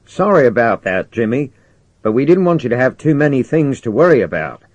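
A man acts out a male spaceship commander for an audiobook. He is brisk, assured and persuasive, used to giving orders. The voice is pitched up and projected, in a very dry recording. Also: big pitch swings, no room sound, highs cut off. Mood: apologetic. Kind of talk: audiobook character dialogue.

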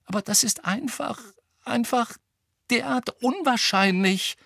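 A man's voice: on the high side, moderate pace, fairly bright tone, no room sound, clean studio sound, pitched above the performer's own voice. A man voicing an anxious but determined teenage witch girl in an audiobook, pleading and insistent as he reasons. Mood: desperate. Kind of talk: audiobook character acting.

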